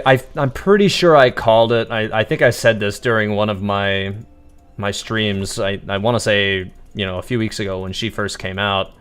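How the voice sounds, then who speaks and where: Low-voiced man chatting on a game stream. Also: fairly bright tone, clear, resonant tone. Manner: rambling, halting, chatty. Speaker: game livestreamer. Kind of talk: casual live gameplay commentary.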